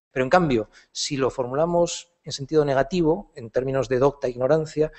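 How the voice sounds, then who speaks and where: A man in his fifties from Spain, a philosophy professor answering audience questions after a lecture, thoughtful and halting. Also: mid-range voice, darkish tone. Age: 50s. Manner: thoughtful, halting, hedged phrasing.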